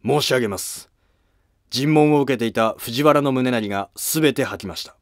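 A man's voice: medium pitch, normal speed, no echo, clean studio sound, natural voice. A man playing an ambitious, scheming Heian courtier with formal, calculating period speech. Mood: deferential.

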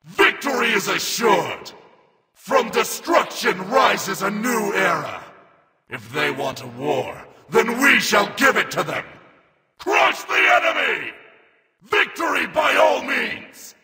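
A proud robot warrior god from a video game, with a high, gravelly voice that swoops wildly. He declaims grandiose battle cries at a very slow, weighty pace. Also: big pitch swings, pushed and bright, shouting, in a large hall, full character voice. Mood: triumphant, warlike. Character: proud, warlike armored mecha warrior god of death. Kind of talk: video game character voice lines.

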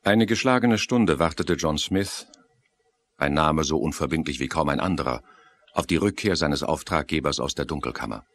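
Deep-voiced male thriller narrator. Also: animated, moderate pace, no echo. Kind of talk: scripted dramatic thriller narration.

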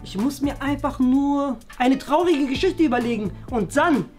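Dim jock pupil played by a man, very high for a male, bragging casually, comedy sketch, faint music. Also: a little mellow. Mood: boastful, carefree. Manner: casual, cocky, drawn-out bragging. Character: boastful, carefree, dim sporty male slacker pupil. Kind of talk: scripted comedy sketch acting.

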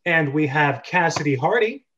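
Guy with a highish voice hosting a club on a video call, chatty. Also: expressive, moderate pace, a bit bright, highs cut off. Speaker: host of an online script-reading club. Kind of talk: casual hosting talk.

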